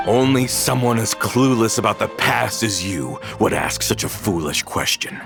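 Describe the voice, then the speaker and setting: Gravelly, grief-mad older villain: low voice, swinging wildly between cold menace and shouts over music. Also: male voice, expressive, moderate pace. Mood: furious grief. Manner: bitter, accusing, rising to shouts. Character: bitter older male villain, vengeful, grieving. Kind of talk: game cutscene voice acting.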